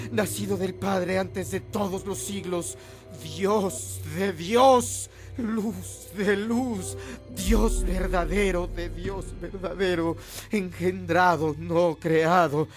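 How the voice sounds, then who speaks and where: A man's voice: high-pitched, fairly even intonation, takes it slowly, music in the background. An actor playing a fiery, fervent young male seminarian in a radio drama. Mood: fervent praying.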